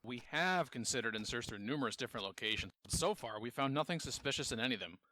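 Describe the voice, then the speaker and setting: Guy doing a police captain in a fan audio play, crisp forceful voice, official, no nonsense, guarded. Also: mid-range voice, animated, fast, dry recording. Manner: matter-of-fact, official. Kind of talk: fan audio drama acting.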